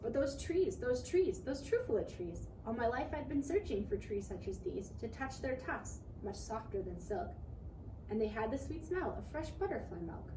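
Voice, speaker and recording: Animated woman reading a storybook, roomy recording. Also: on the high side, normal speed. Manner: animated, dramatic rhyming delivery. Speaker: read-aloud storyteller. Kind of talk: expressive children's picture book narration. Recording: roomy, noisy recording, distant mic.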